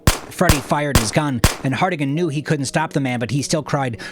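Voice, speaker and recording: A man reading scripted fiction in a dramatic, measured way, dropping into hushed, breathy phrase endings. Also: a little high, loud music behind the voice. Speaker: audiobook narrator.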